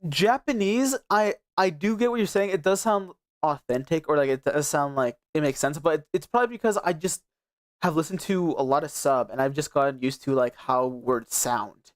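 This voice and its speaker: A man's voice: on the high side, normal speed. A young man streaming, chatting casually and rambling with many restarts. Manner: rambling, restarts a lot, laughs often. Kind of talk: casual chat.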